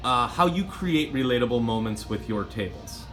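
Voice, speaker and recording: A man's voice: mid-range voice, expressive, moderate pace, fairly bright tone. A man interviewing a coworker, asking long questions full of restarts and fillers. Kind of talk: workplace interview.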